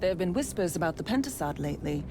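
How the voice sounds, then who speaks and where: A woman's voice: lowish voice, animated, racing, noisy background. A woman playing a hardened, frank rebel ex-spy in naturalistic video game dialogue, blunt, wry and earnest. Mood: wry, matter-of-fact.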